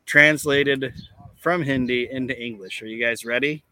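A man hosting a casual comedy podcast, chatting and reading things out in a deadpan way. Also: mid-range voice, moderate pace, dry recording. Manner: deadpan, monotone when reading. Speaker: podcast host. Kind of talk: casual comedic podcast chat with mock recitation.

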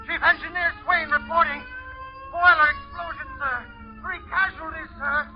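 Reporting sailor, high voice, old radio serial, dull recording. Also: male voice, steady tone, moderate pace. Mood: dutiful, grim. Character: dutiful male navy crewman reporting to superiors. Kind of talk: 1930s-40s radio drama acting.

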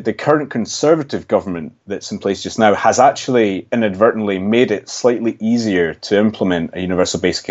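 A Scottish man speaking in a very low voice as a guest on a podcast. He explains things in a measured way and often restarts his sentences mid-thought. The audio sounds dull and lo-fi. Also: a little mellow. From Scotland. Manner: measured, thinking aloud with frequent restarts. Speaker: economist guest. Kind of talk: podcast interview answers.

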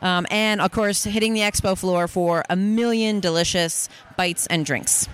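A woman's voice: medium pitch, normal speed, slight background noise. Bubbly woman talking on an expo floor. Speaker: guest, fitness workshop presenter. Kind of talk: casual in-person interview. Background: crowd ambience.

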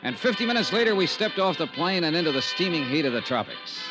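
A hard-boiled private investigator of old-time radio. He is shrewd and wry, with clipped, dramatic phrasing and a widely swinging, lively intonation. Music comes in behind him at times. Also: fairly high pitch, quick pace. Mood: crisp, narrating. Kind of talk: 1950s radio detective drama, scripted narration and dialogue.

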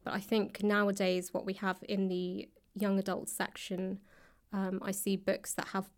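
A woman talking casually as an author guest, tentative and modest, quick to laugh. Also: medium pitch, monotone. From England. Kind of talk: casual interview conversation. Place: library room.

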